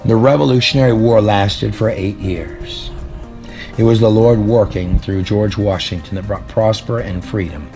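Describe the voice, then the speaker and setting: Deep-voiced man preaching dramatically over music. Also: a little mellow. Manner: theatrical, emphatic, drawn-out dramatic phrasing with rhetorical questions. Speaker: preacher and storyteller. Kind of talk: dramatic sermon with read-aloud prophecy. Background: background music.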